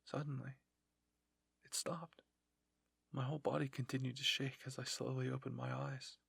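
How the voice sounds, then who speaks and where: A man's voice: mid-range voice, completely flat delivery, fast, vocal fry, fairly bright tone, slightly rough, no room sound. A young man narrating an anime fan fiction dramatically as a channel host, close to the microphone. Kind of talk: dramatic story narration, ASMR roleplay reading.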